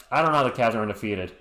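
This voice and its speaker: Male stream host from Western Massachusetts, mid-pitched, calls the game play by play and talks to chat, recorded in a roomy space. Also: sharp tone. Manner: chatty, answers chat messages with joking asides. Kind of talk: live play-by-play commentary. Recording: roomy echo.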